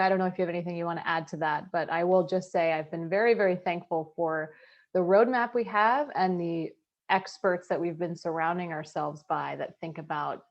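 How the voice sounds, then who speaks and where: A woman, co-founder of a nonprofit, speaking earnestly in a webinar conversation over a video call and often checking in with "right?". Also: lowish voice. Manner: earnest, tag questions like "right?".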